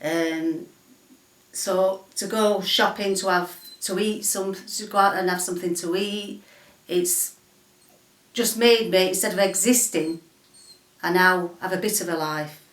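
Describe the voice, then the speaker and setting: A woman giving halting personal testimony. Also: on the low side. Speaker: client of a personal assistant. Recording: echoey room, distant mic.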